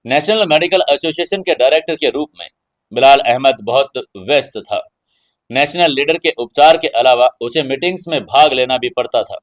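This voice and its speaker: A man's voice: medium pitch, animated, normal speed. A man hosting and narrating an audio story in a dramatic storytelling style.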